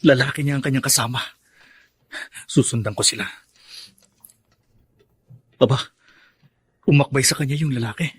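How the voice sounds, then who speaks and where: A man's voice: medium pitch, normal speed, pauses often, breathy edge, dry recording. An actor playing an angry, defiant young man confronting his family in a radio drama. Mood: suspicious. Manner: indignant, accusing.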